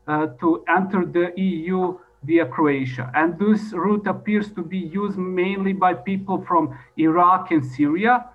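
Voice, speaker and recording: A man who is not a native English speaker gives a formal expert presentation in halting, repetitive phrasing. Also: high voice. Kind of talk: formal presentation, partly improvised. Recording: dull lo-fi audio.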